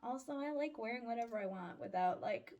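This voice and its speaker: A woman's voice: fairly high pitch, lively intonation, fairly quick, a little mellow, highs cut off. A woman in her early twenties giving a casual personal update in an awkward, self-deprecating, giggly, rambling way. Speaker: audio roleplay voice creator and vlogger.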